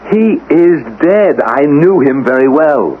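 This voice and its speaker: A nervous, haunted businessman in a 1970s radio mystery. He stammers and repeats himself, agitated and defensive, his pitch swinging widely. The recording is thin and tinny, with faint noise. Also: lively intonation, takes it slowly. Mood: uneasy, insistent. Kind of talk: 1970s radio drama acting. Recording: tinny, noisy old radio broadcast recording.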